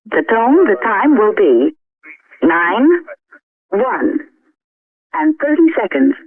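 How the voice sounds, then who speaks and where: A woman announcing the time as a recorded speaking clock, in a measured, formulaic delivery over a telephone line. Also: fairly high pitch, very animated, dry recording. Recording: thin, phone-like time-signal tape.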